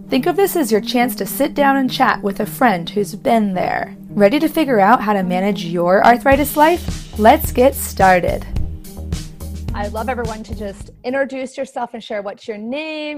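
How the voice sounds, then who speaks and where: Enthusiastic woman in her 30s hosting a podcast panel, music going under her. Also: on the high side. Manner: upbeat, enthusiastic. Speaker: podcast host and moderator. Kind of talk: podcast intro and panel moderation.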